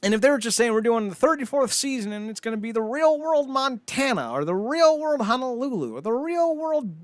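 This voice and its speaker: A man's voice: very high voice, big pitch swings, a little fast, no echo. A man hosting a podcast with casual, comedic chat, riffing and swearing freely. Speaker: podcast host.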